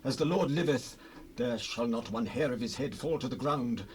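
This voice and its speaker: Man acting as firm Israelite spokesman, mid-pitched. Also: normal speed, darkish tone, lightly acted. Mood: indignant plea. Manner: firm, declamatory. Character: loyal Israelite spokesman defending a hero. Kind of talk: dramatized scripture acting. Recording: noisy cassette rip, ambient sound.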